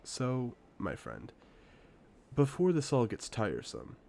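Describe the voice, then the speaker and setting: A man playing a suave, confident secret agent in a spy audio drama, speaking in a playful, theatrical, deliberate way. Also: medium pitch, huge pitch swings, a little mellow, heavily acted. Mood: confident, admiring. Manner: smooth-talking, playful, theatrical, deliberate phrasing. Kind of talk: scripted one-sided audio roleplay, spy drama.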